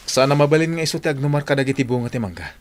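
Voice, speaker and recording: A man's voice: medium pitch, animated, very fast, natural voice. A man playing an earnest, wronged younger brother in a radio drama, with an Ilocano accent. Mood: troubled.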